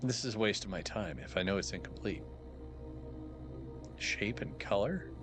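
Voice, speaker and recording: A slightly hoarse man with a very low voice chats casually while streaming a game. He thinks aloud and drops wry asides, with background music running behind him. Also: lively intonation, moderate pace. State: a little hoarse. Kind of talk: casual live-stream commentary.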